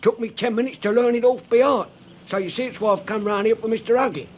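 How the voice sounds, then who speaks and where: An actor with a working-class London accent playing a dim, earnest messenger, halting and muddled, in 1950s British radio comedy style. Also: male voice, very high voice, steady tone. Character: dim, muddled messenger workman, earnestly reciting garbled jargon. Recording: noisy old 1950s radio recording.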